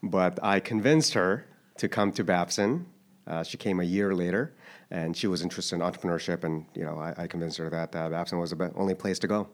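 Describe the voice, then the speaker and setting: A man's voice: low-pitched, a little fast. A man in his thirties who grew up in Japan, answering interview questions in a thoughtful, hesitant way with many restarts. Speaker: interview guest. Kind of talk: casual interview.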